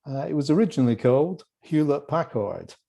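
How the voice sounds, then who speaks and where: A man from the UK with a British accent, a company executive answering interview questions in a relaxed, chatty way over a video call, with joking asides. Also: fairly high pitch, a little fast, vocal fry. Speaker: guest executive.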